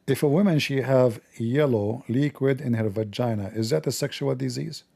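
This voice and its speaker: A man talks in halting, broken English, stopping and restarting often. His tone is mocking. His voice is dark and gravelly. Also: medium pitch. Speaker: channel host. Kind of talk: casual commentary, quizzing an AI assistant.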